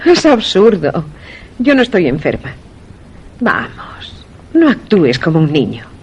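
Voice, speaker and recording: An actress playing an insistent, scornful wife in a radio suspense drama. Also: female voice, on the low side, huge pitch swings, takes it slowly, heavily acted. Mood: indignant, coaxing. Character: insistent, scornful wife pushing medicine on husband. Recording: dull, noisy radio sound.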